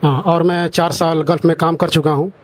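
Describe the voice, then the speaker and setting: An eager young man from Bihar introduces himself, his voice a touch high. He explains things readily and keeps chiming in with short affirmations over faint background noise. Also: level tone, fairly quick. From Bihar, India. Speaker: student. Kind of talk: self-introduction in an interview.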